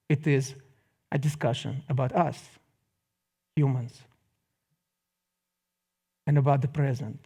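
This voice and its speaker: A middle-aged man with a Russian accent gives a public lecture, phrasing deliberately with pauses and wry asides. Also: mid-range voice, warm tone, husky, lo-fi sound.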